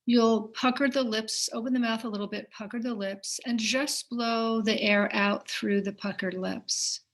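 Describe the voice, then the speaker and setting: Woman softly guiding a meditation. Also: on the high side, fairly even intonation. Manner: gentle, unhurried instruction with pauses. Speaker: meditation teacher. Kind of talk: guided meditation.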